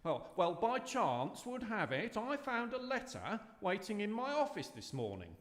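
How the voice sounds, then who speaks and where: A man's voice: high voice, sing-song, a little slow, fairly bright tone, full character voice. A man playing a fussy, posh, cheerfully sinister estate agent in a live radio drama, hesitant and chuckling. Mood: gleefully sinister. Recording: long hall reverb of live stage.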